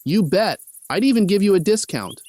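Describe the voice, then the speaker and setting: A man acts out a scruffy young artist in an audio drama. His pitch is a little high and swings freely. He talks in a casual, hesitant way, easygoing with some stress underneath. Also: expressive, moderate pace, darkish tone. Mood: casual, animated. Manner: casual, hesitant slangy talk. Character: scruffy, easygoing college-age male artist, a bit stressed.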